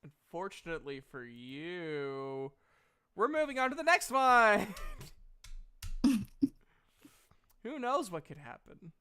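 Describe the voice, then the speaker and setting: A man's voice: high-pitched, very animated, takes it slowly, long pauses, sharp tone, clear, resonant tone. A man running a tabletop role-playing game as game master, narrating and guiding players in a playful, teasing way.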